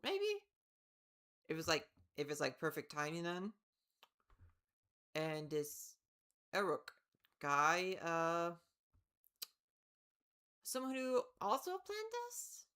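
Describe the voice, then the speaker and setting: A woman's voice: on the low side, sing-song, slow, long pauses, a bit bright, clean tone. A woman livestreaming a game with casual commentary, musing aloud.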